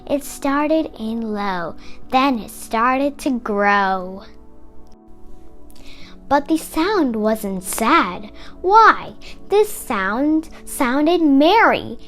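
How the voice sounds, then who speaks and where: A woman reading a rhyming children's story aloud in a dramatic, deliberate storytelling manner. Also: high voice, sing-song, fairly bright tone, faint music behind. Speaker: storybook reader.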